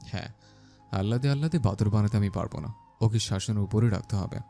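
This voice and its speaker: A man's voice: lowish voice, brisk, mellow, faint music behind, lightly acted. A man playing a bossy but caring young husband in an audio story, with a firm manner. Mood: frank, firm. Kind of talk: Bengali audio story acting.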